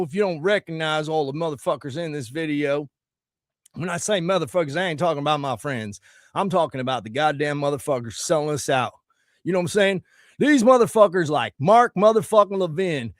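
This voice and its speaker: A man's voice: high voice, dry recording, clean studio sound. Foul-mouthed ranting man. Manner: loud, crude, preachy, swearing constantly. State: slightly scratchy voice from singing. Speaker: musician promoting his song. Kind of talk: profane political rant to camera.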